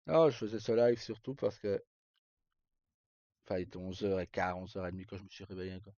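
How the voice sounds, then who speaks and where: Low-voiced Frenchman streaming, roomy dull sound. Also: fairly quick, dark tone. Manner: colloquial and sweary, talks in short, broken-off phrases. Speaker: live streamer. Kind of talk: casual, unscripted livestream chat to viewers. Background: faint game music.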